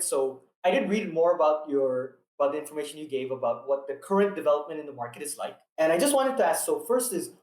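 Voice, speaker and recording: A man's voice: fairly high pitch, darkish tone, reverberant, poor audio. A young man in his twenties from Saipan, a student guest who asks questions politely and earnestly. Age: 20s. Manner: polite, earnest, some restarts. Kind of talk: interview answers and questions.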